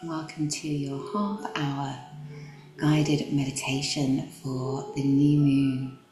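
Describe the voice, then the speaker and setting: A woman guiding a meditation in a gentle, calm, instructional manner. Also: extremely low pitch, slow pace. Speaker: meditation guide. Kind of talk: guided meditation. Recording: roomy echo, music behind. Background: background music.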